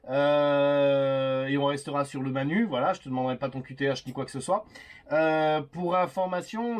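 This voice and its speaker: A man in a casual CB radio chat, speaking politely and hesitantly as he circles round his point. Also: on the high side. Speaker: CB radio operator.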